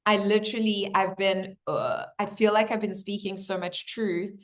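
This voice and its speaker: A woman whose voice has gone hoarse gives spiritual guidance straight to her viewers. She is earnest and reassuring, with a gentle, encouraging manner. She keeps talking to them as guys and fills her sentences with likes and ums. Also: mid-range voice, steady tone, normal speed. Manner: addresses viewers as guys, many fillers. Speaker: spiritual coach.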